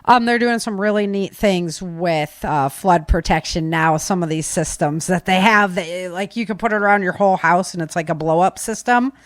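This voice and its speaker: A woman's voice: on the low side, moderate pace, few pauses, no room sound. Casual, folksy woman podcast host, dry sound. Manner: folksy, informal, rambling. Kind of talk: casual podcast chat, giving practical tips.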